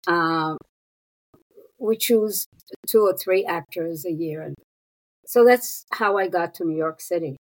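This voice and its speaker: A woman in her sixties, raised in Israel, talks through her story as an interview guest. She speaks very slowly and thoughtfully, with a fairly low voice and frequent pauses. Her delivery stays reflective and measured. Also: poor audio. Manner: unhurried, thoughtful, with pauses and fillers. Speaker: guest, psychotherapist.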